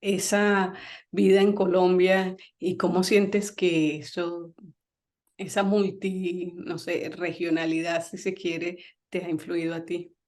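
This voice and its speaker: A woman's voice: lowish voice, flat delivery, slow pace, a little mellow. A woman hosting a Spanish-language talk show, welcoming listeners and chatting with her guest through questions and fillers. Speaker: host. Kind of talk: radio talk show interview.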